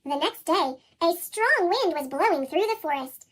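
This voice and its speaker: Very high chipmunk-voiced woman storyteller. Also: moderate pace. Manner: expressive, animated storytelling. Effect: pitched-up chipmunk voice effect. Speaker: children's storybook narrator. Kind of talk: scripted read-aloud storybook narration.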